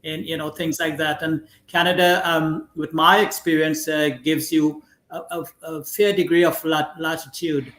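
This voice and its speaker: A man from Trinidad hosting a talk show, speaking in a conversational, halting way with many fillers. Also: fairly high pitch, little pitch movement, fairly bright tone. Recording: echoey room sound.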